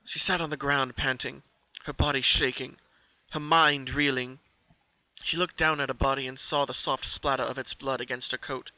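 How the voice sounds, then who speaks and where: A man's voice: medium pitch, steady tone, normal speed, no room sound, dull audio. A man giving a dramatic scripted narration of a fan fiction story as the reader. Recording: very loud background noise.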